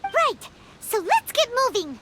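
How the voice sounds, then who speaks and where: A woman voicing a tiny, excitable, childlike fairy companion in a video game, with a squeaky, exclamatory, eager delivery. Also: a little slow, background noise, heavily acted. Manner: exclamatory, wide-eyed, eager. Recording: music clearly behind the voice.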